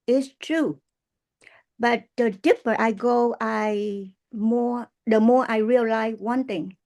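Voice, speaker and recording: Female speaker, sixties, Vietnamese accent, higher-pitched with a creaky edge, earnest halting testimonial. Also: a little mellow. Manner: halting, earnest, non-native English with pauses. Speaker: guest speaker, business distributor. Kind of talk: prepared testimonial speech.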